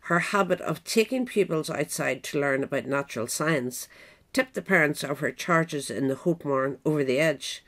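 A woman's voice: sits low, normal speed, fairly bright tone. A woman narrator reading a scripted biographical essay aloud. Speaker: narrator, reader.

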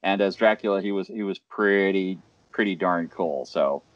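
A man hosts a panel discussion from a home room, speaking through a headset. His voice sits low and dry, with a dull lo-fi call sound. He talks in a loose, rambling way and often restarts his sentences. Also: normal speed, no room sound. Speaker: panel moderator.

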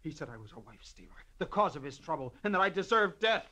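An actor playing a shaken, anxious man in an old radio crime drama, halting and pleading. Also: fairly high pitch, sing-song, sharp tone. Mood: distraught. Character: shaken, anxious man who just killed in self-defense. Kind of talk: 1950s radio drama acting. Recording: noisy old radio recording.

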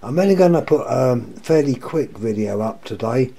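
Older guy with a croaky sore throat, rambly and mellow, showing off lamps at home. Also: medium pitch, animated, normal speed. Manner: rambling, repeats himself, wry complaints. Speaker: lamp collector vlogger. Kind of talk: casual show-and-tell monologue. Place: indoors at home, table of lamps.

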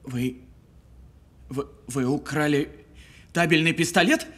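An actor playing an insecure, flustered police officer who threatens defensively and stammers in an audio drama. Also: male voice, on the high side, slow pace, a bit bright. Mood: alarmed. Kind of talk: naturalistic audio film drama acting.

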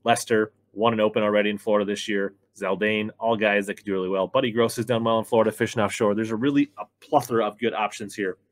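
A man's voice: lowish voice, steady tone, quick pace, few pauses, no echo. An American man in his thirties giving sports commentary and picks to camera as the host. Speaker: fantasy fishing pundit and host. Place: small indoor room, seated at a desk.

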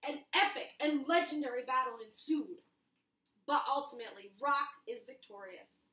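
A woman's voice: sits high, normal speed, sharp tone. A young woman reading a storybook aloud expressively for children, indoors in a kitchen, recorded from across the room. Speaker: children's read-aloud teacher. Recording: roomy echo, mic at a distance.

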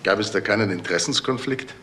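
An actor playing a persistent police detective in a crime radio drama, pointed and measured. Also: male voice, fairly low pitch, sharp tone, slight background noise. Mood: probing, confident.

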